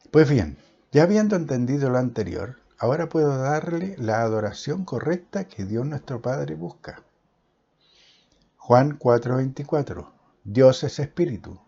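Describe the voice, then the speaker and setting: A man delivering a Bible sermon, stressing phrase after phrase with measured pauses. His voice is dark and a little husky. The recording sounds muffled and lo-fi. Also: mid-range voice. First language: Spanish. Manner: emphatic, declaiming with pauses. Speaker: preacher. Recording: dull lo-fi recording.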